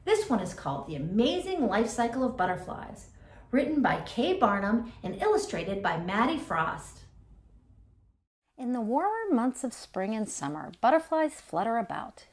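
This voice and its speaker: A middle-aged woman reading a children's nonfiction picture book aloud as story time host, in an expressive, deliberate way. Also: fairly high pitch, slightly rough.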